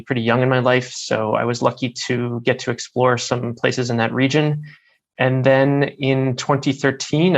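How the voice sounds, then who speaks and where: A man in his thirties from Maryland, a guest author talking thoughtfully in a conversation, with frequent fillers and repeated words. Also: mid-range voice. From United States (Maryland). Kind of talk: interview conversation.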